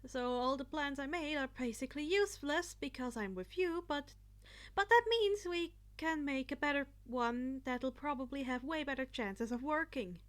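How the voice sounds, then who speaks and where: Woman doing a hesitant, high-voiced teenage boy. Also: lively intonation, normal speed. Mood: nervous, thoughtful. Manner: hesitant, rambling. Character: nervous, modest, thoughtful teenage boy.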